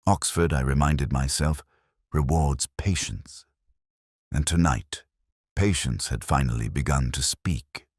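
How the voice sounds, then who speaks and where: A man playing a brooding, methodical detective inspector, narrating a mystery in a measured, grave, literary manner. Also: extremely low pitch, no room sound, studio quality, heavily acted. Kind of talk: scripted first-person mystery narration.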